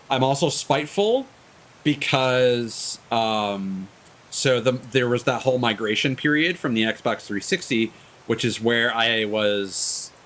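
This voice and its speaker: A man's voice: medium pitch, a bit bright, a little hiss or hum. A man chatting casually as he streams a game, hesitant and discursive, restarting phrases and swearing now and then. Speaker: video game streamer, host. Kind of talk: casual rambling chat while streaming a game.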